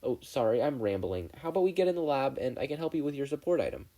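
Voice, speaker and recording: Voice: medium pitch, lively intonation, fast talker, dark tone, voice pushed up, close to an everyday voice. A reader voicing a nervous, earnest teenage boy inventor with an eager, apologetic manner in a fan fiction audiobook.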